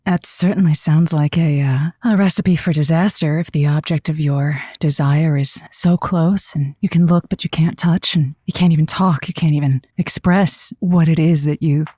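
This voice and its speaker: An actress playing a radio advice host who is dramatic, effusive and easily flustered, in a scripted audio comedy. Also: female voice, low-pitched, fairly even intonation, normal speed, few pauses, dark tone, no room sound, clean studio sound. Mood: intrigued, dramatic.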